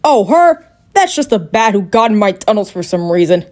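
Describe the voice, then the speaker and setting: A woman voicing a rich, vain, nearsighted male mole who is smug and dismissive in an animated storybook. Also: mid-range voice, normal speed, pitched below the performer's own voice, close to an everyday voice.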